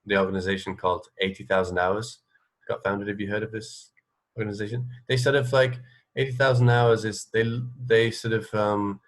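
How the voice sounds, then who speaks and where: A man's voice: lowish voice, little pitch movement, a little fast. A man hosting a casual radio interview, speaking tentatively and thinking aloud as he goes.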